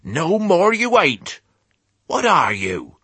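A man voicing a mournful, grumbling old ewe with a husky voice and a West Country accent, in a storybook. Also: sits high, huge pitch swings, slow, bright, forceful tone, no room sound, voice pushed up, full character voice. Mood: puzzled. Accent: English West Country.

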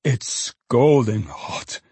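A man's voice: mid-range voice, lively intonation, very slow, warm tone, slightly rough, dry recording, heavily acted. A man voicing a poor, good-humoured old father in a storybook, musing and exclaiming in a folksy way. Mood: rapturous.

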